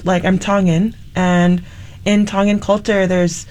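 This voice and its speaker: A woman's voice: medium pitch, flat delivery, unhurried, darkish tone. A woman from Hawaii speaking as a panel guest, casually reflecting on personal experience.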